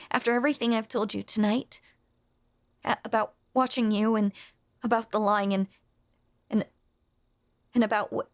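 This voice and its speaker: A woman voicing a lonely, possessive young ghost in an intimate audio roleplay, speaking in a hushed, halting, breathy voice that is tender and sweetly sinister. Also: a little high, no echo, clean studio sound, lightly acted. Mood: anxious confessing. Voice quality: breathy, often slipping into whisper.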